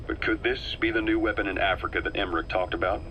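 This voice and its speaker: An actor playing a wary, embittered mercenary second-in-command in a video game, speaking in a grave, emphatic, dramatic way. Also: male voice, sits low, fairly even intonation, fast talker, highs cut off, slight background noise. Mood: grave, suspicious. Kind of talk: scripted video game dialogue.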